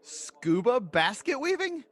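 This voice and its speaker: A man's voice: extremely high pitch, sing-song, slow pace. A man playing a baffled teacher in an improvised comedy podcast, firing off confused, incredulous questions.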